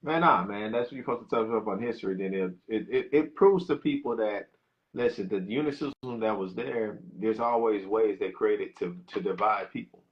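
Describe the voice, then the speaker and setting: A man with a lowish voice makes his points emphatically in casual podcast talk. The audio is a little dull and lo-fi. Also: normal speed. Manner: conversational, emphatic, backchannels often. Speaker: podcast co-host.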